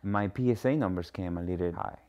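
A man's voice: sits low, brisk, a little mellow. A middle-aged man from the Dominican Republic with a Dominican Spanish accent, a native Spanish speaker, giving a personal testimonial. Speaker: cancer patient telling his story. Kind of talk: personal testimonial in a promotional documentary.

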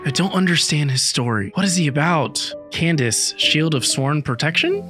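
A man in his thirties giving casual, excited reaction commentary as a video host, close on the mic. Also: on the high side, moderate pace. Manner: excited, wowed. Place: small indoor room. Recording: loud trailer music underneath.

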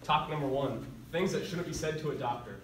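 A teenage boy hosts a school improv show, calling out each prompt in a brisk, announcer-like way. His voice comes from far off in an echoey classroom, with loud noise. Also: on the high side. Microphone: far camera.

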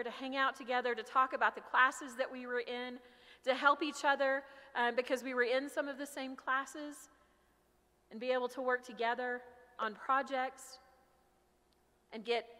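High-voiced American woman preaching in reverberant hall. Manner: emphatic, loud projected delivery. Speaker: pastor preaching. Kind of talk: sermon with personal storytelling. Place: large church sanctuary, at pulpit. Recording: reverberant large church hall.

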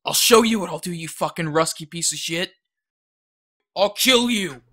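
An actor playing a troubled, volatile teenage boy in an audio drama, speaking in a halting, repetitive, distraught way. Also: high-pitched. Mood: enraged, desperate. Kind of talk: emotional audio drama acting. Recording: loud music behind the voice.

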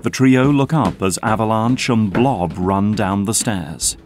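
A man's voice: on the low side, measured pace, a little mellow. A man reading scripted scene narration as the narrator of an audio drama. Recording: music under narration.

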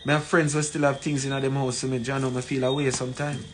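A man in his forties with a Jamaican accent gives an emphatic, deliberate address to camera, repeating phrases for weight. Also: mid-range voice, flat delivery. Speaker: community leader. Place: small plain room indoors. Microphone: phone at arm's length. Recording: noisy room, ambient background sound.

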